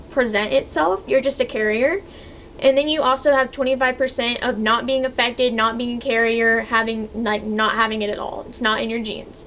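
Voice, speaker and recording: A young woman of twenty with a high voice, talking to camera in a relaxed, chatty way and leaning on "like" between thoughts. The audio sounds a little dull and muffled. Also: a little hiss or hum. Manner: casual, chatty, many "like" fillers. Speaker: vlogger. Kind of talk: personal storytelling to camera.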